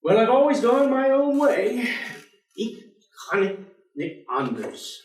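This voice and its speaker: An actor playing a weary, stubborn intellectual professor in a staged play reading, speaking in a dry, precise and formal way. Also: male voice, extremely high pitch, very animated, slow pace, darkish tone, exaggerated voice. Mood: wry, stubborn. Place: indoor theater stage. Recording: echoey theater, distant microphone.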